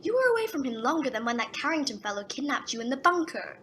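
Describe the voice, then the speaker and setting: Sardonic, self-assured female operative played by an actress, animated delivery, faint noise behind. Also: sits high, fast. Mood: sardonic, knowing. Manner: sardonic, breezy. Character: cocky, sardonic, knowing female agent. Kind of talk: audio drama acting.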